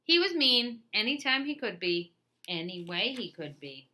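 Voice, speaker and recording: Bright-voiced woman reading a picture book. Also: mid-range voice, a little slow. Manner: expressive, lively storytelling. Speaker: children's storytime reader.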